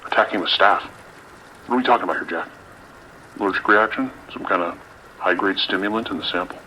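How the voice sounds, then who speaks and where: A man playing a commanding, skeptical police lieutenant in an audio drama, brisk and authoritative. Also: deep voice, sharp tone, poor audio. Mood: demanding. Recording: rain and radio noise behind.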